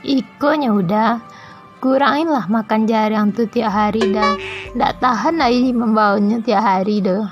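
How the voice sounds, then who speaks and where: A woman's voice: fairly high pitch, a little slow, lo-fi sound, soft background music, natural voice. A woman with a Minangkabau accent voicing an affectionate, coy young wife in a comedy cartoon. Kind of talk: comic cartoon dialogue.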